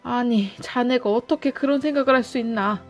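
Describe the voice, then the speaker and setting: A woman's voice: on the high side, moderate pace, soft background music, pitched above the performer's own voice, natural voice. A woman voicing a dismayed, reproachful male friend in a storybook. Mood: shocked reproach.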